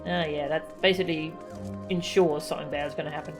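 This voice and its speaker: A woman's voice: deep voice, steady tone, quick pace. A woman chatting casually as she streams a game, commenting on what happens as she plays. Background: game music.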